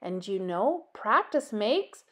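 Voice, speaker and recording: A woman voicing a calm, encouraging ferret from a storybook, speaking with patient reassurance. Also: medium pitch, slow, exaggerated voice.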